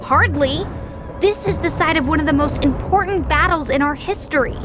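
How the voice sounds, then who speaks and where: A woman voicing a sassy, know-it-all preteen girl in an animated video game, with a dry, matter-of-fact manner. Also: high voice, moderate pace, natural voice. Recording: music under the voice.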